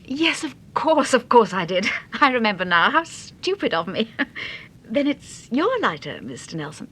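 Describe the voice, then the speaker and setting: High-voiced, expressive detective's wife. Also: female voice, moderate pace, slight background noise. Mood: puzzled, polite. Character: poised, inquisitive detective's wife, affectionate and quick. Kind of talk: vintage British radio drama acting.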